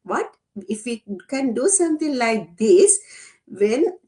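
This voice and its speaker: A woman's voice: on the high side, sing-song, slow, dark tone. Hesitant woman guest from Sri Lanka. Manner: hesitant, many "like" and "uh" fillers. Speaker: guest, teacher aide. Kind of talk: interview answers.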